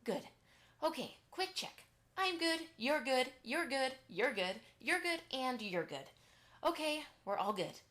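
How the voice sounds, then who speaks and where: A high-pitched, excitable mother pony from a cartoon-style audio drama, acted by a woman. She is fussy and bossy, giving brisk little instructions as she herds her family. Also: heavily acted. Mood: fussy, hurried. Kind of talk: amateur audio drama acting.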